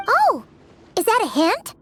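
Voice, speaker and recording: A squeaky, excitable fairy sprite. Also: female voice, background noise, full character voice. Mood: excited. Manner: eager, chattering, quick to exclaim. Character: small excitable female fairy companion. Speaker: companion character.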